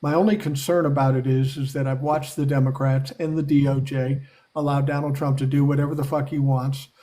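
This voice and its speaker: A man hosts a live call-in podcast and talks through the news in a blunt, casual way. His voice is mellow and dark, sitting in a middle pitch. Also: flat delivery, fairly quick. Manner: blunt, profane, conversational. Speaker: podcast host. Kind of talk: casual live-stream chat.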